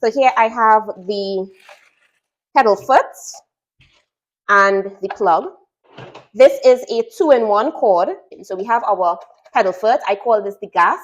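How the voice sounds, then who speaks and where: A woman's voice: mid-range voice, slow pace, pauses often, low-quality recording. Chatty Trinidadian woman sewing teacher. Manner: chatty, explains step by step. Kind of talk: product unboxing and tutorial.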